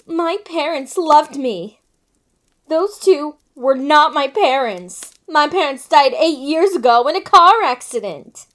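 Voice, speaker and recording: A woman voicing a gentle, frightened young heiress in a dramatic comic dub, speaking politely and earnestly. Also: high voice, lively intonation, slow, exaggerated voice. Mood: earnest, sombre. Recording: voice over background music.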